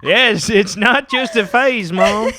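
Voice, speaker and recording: A man acting as an earnest young son who proudly declares a new identity, in a theatrical, drawn-out comedy reading. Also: high-pitched, sharp tone, pitched above the performer's own voice, exaggerated voice. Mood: earnest, defiant.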